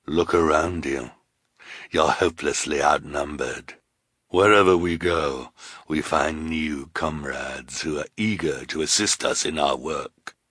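A man's voice: extremely low pitch, measured pace, a bit bright, breathy edge, dry recording, clean studio sound, pitched below the performer's own voice, exaggerated voice. A man voicing a sinister, menacing woman villain in a storybook, cold and ominous.

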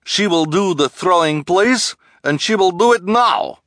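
A man voicing a theatrical fake fortune-teller woman in an audiobook, grand and booming. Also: expressive, measured pace, fairly bright tone, no room sound, clean studio sound. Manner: grand, booming, speaks of herself in third person.

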